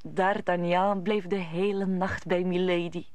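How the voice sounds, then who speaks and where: A woman's voice: lowish voice, little pitch movement, measured pace, a little mellow, no room sound. A woman narrating an old Dutch radio play in a scripted storytelling style.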